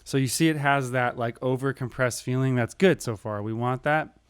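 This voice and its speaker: A man with a medium-pitched voice presents a tutorial. He talks informally and casually, walking the listener through each step. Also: normal speed, clean tone. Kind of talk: casual screen tutorial narration.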